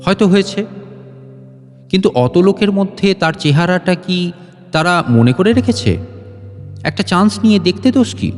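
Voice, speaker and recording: A man acts out a tempting serpent of the conscience in an audio story, insidious and insistent. The voice is fairly high and coaxing, with guitar music behind it. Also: expressive, moderate pace, long pauses, big-room sound. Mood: coaxing, persuasive. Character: sinister tempting inner serpent, persuasive and insidious.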